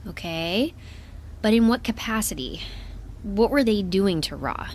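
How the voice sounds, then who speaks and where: An actress playing an inquisitive, incredulous spaceship crew member in an audio drama, blunt and questioning. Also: female voice, mid-range voice, lively intonation, a little slow, a little mellow, a little hiss or hum, lightly acted. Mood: puzzled. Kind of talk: naturalistic audio drama acting.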